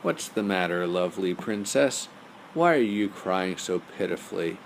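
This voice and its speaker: A man's voice: deep voice, expressive, normal speed, creaky, slight background noise. A man voicing a talking storybook frog who pleads and bargains insistently for friendship. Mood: earnest bargaining.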